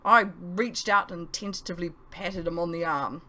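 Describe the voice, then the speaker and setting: A woman reading her own novel aloud as expressive audiobook narration. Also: lowish voice, normal speed.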